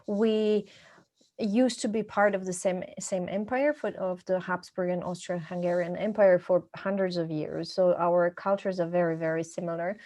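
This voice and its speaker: A woman's voice: on the low side, fairly even intonation, normal speed, mellow. A middle-aged woman from Croatia, chatting casually as a podcast guest and telling about her life. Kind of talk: casual conversational storytelling.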